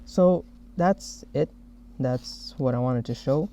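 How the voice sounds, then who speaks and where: A man narrating a software demo as the developer who made it, explaining each step. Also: medium pitch, slow pace. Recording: dull, muffled lo-fi audio.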